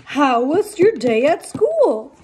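Woman playing slow, stern dinosaur dad. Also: high voice, huge pitch swings, darkish tone, full character voice. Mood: stern, reproving. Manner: slow, emphatic, reproving. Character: adult father dinosaur, stern, patient, gently scolding.

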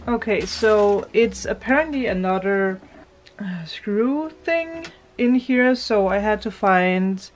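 A woman chatting casually in a home renovation vlog, thinking aloud as she fits drawer knobs indoors. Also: medium pitch. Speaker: vlogger. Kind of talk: casual DIY vlog talk.

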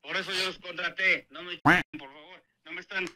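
A man's voice: sits high, fairly even intonation, normal speed, bright, forceful tone. A middle-aged man with a Mexican accent, arguing indignantly and foul-mouthed over a tinny phone speaker. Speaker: prank call victim, insurance customer. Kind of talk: heated phone argument. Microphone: phone speaker held near studio mic.

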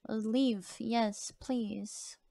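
A woman streamer with a soft voice, chatting casually as she plays a horror game and reads its lines aloud. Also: a little high, steady tone, extremely slow, creaky, no echo. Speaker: gaming streamer. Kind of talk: casual let's-play commentary.

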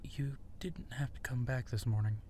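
A man playing an apologetic, self-doubting werewolf in human form in a roleplay audio drama, speaking softly and hesitantly. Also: mid-range voice, fairly even intonation, moderate pace, a little hiss or hum, lightly acted. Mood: hesitant, sheepish. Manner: hesitant, soft-spoken, sheepish.

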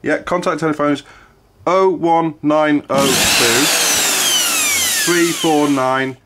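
A man's voice: fairly high pitch, fairly even intonation, takes it slowly. A man making a casual, hesitant phone call as a caller. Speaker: prank caller. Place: indoors, kitchen worktop. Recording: loud power drill noise over voice. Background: loud electric drill, clicks.

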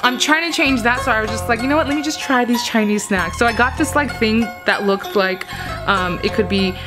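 Chatty young woman vlogger, higher voice. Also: fairly quick, music in the background. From United States. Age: 20s. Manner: chatty, animated storytelling with many fillers. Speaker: travel vlogger. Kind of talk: casual vlog storytelling to camera. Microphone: handheld camera held close.

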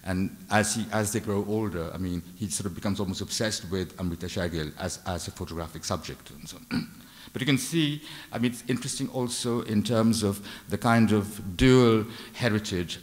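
A man giving an unscripted art history lecture from a lectern, talking in a halting way with many fillers and restarts. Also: on the low side. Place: large dark lecture hall. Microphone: lectern microphone. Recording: long hall reverb.

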